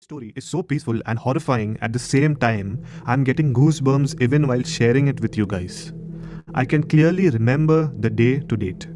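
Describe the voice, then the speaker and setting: A man's voice: mid-range voice, normal speed, darkish tone, faint music behind. A man in his thirties from Maharashtra, India, with an Indian accent, hosting and narrating a horror story show. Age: 30s.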